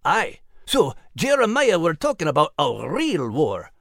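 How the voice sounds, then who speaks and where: A woman's voice: low voice, huge pitch swings, measured pace, no echo, studio quality, heavily acted. A woman voicing an earnest male animal character in a children's story. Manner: folksy, plain-spoken.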